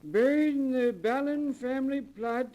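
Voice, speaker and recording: An actor playing an uneasy, grudging old cemetery caretaker in a 1940s radio drama, hesitant and folksy. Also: male voice, very high-pitched, measured pace, dark tone. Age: older. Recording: noisy vintage 1940s radio broadcast.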